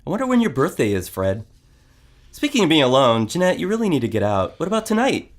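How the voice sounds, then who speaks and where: A man's voice: fairly high pitch, wildly swooping pitch, fast talker. An actor playing a breezy, teasing male roommate in an audio drama.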